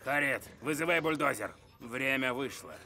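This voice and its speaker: A man voicing an irritable, scheming villain in an animated film, barking and sputtering resentfully. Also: medium pitch, moderate pace, lightly acted. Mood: menacing. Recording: music behind the voice.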